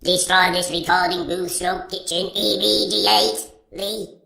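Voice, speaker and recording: An imperious robot villainess in a comic audio drama. The voice is echoing and low, with a forceful edge. Every order is declaimed with scorn. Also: female voice, fairly even intonation, measured pace, creaky, soft background music, full character voice. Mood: scornful, commanding. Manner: declaims long rhyming verbs, imperious.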